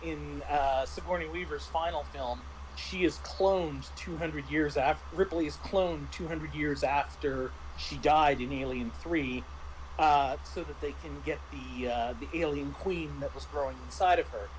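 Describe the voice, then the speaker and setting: A man's voice: a little high, steady tone, a little slow, slight background noise, low-quality recording. A man talking casually as a game master recapping a tabletop roleplaying session, animatedly retelling his own thoughts. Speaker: game master and panelist on a review show.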